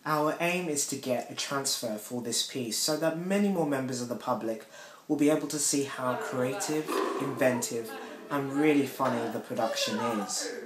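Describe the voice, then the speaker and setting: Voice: mid-range voice, normal speed, over a noisy crowd. A man giving an articulate, promotional interview as a member of a theatre company. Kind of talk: interview to camera about a production. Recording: echoey room, faint hiss.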